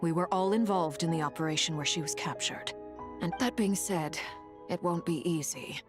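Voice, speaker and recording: A grave, analytical woman of a video game voiced by an actress lays things out formally. Her voice sits on the low side, with soft music underneath. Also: close to an everyday voice. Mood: grave, explaining. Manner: measured, formal, explanatory.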